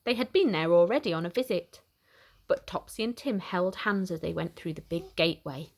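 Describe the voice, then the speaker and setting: A woman reading a children's picture book aloud in an animated, expressive way for young listeners. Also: fairly low pitch, normal speed. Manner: animated, simple questioning aimed at small children. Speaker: children's story reader.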